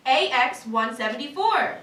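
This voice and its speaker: Woman as practical helpful park worker in a cartoon, high voice, echoey distant recording. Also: lively intonation, normal speed, bright, forceful tone. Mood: casual, businesslike. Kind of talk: staged reading of cartoon script. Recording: echoey room, distant mic, noisy.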